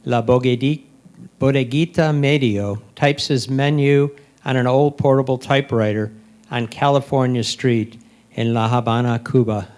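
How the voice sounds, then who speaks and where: A man of average pitch reads descriptive captions from a script. He goes deliberately, phrase by phrase, as a presenter of photographs would. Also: a little mellow.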